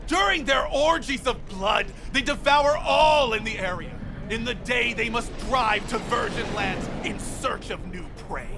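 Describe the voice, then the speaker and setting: High-pitched, creaky, fanatical street prophet. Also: male voice, unhurried, few pauses, over loud music. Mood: fervent, defiant. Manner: theatrical, grandiose preaching. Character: fanatical street-corner prophet ranting conspiracy theories, defiant, paranoid. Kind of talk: video game voice acting.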